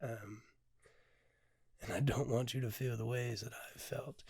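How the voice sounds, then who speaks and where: A hoarse man hosting his own podcast, talking candidly and unpolished with many ums, swearing freely, sometimes dropping to a hush, and reading his poetry. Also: mid-range voice, steady tone, a little slow, dry recording, studio quality. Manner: drops to a hush at times. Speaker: podcast host and poet. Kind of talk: casual reflective monologue with poetry reading.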